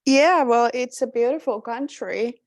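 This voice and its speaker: A woman makes polite, agreeable small talk on an online call. Her voice sits a little high, and it comes through close and dry with no room echo. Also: unhurried. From Finland. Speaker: visitor to a club meeting. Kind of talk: casual chat on a video call. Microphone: video call.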